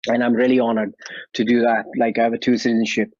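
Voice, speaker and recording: A man's voice: medium pitch, flat delivery, quick pace, darkish tone, no echo, highs cut off. A man from India with an Indian English accent, a martial artist and actor answering interview questions in a friendly, chuckling way with loose grammar. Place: at home after a workout.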